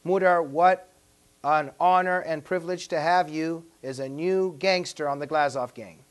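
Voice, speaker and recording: Higher-pitched man hosting an interview show. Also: normal speed. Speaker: talk show host. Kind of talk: formal show introduction and interview questions.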